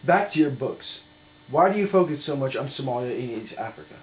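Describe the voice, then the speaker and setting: A man's voice: medium pitch, animated, a little fast. A man working as an interviewer, putting scripted questions in a formal, polite manner. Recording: echoey room with mains hum.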